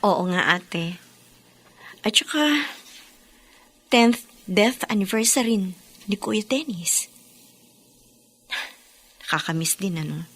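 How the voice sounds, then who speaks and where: An actress playing a caring, sentimental younger sister-in-law in a radio drama, gently questioning, with a Filipino accent. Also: female voice, mid-range voice, expressive, slow pace, pauses often, slightly rough, heavily acted. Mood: wistful.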